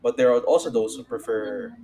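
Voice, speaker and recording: A young man co-hosting a casual podcast, picking through his points in halting, measured phrases. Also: medium pitch, lively intonation, warm tone. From Philippines. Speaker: podcast co-host. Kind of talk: casual analytical chat.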